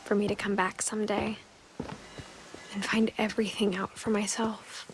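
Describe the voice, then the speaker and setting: A young actress playing a wistful, introspective woman narrating her return to the family home in a game, quietly and with understatement. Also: mid-range voice, level tone, normal speed, noisy background, close to an everyday voice. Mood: reflective, subdued. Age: young adult. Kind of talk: reflective scripted game narration. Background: music.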